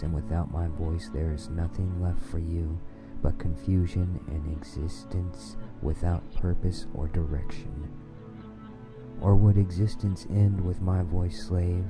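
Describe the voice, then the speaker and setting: Male dominant hypnosis narrator: very low, gravelly register, commanding and repetitive scripted delivery, music underneath.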